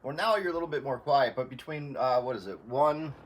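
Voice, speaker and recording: A man in casual radio chat, explaining things in a halting, tentative way as an amateur operator. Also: mid-range voice, background noise.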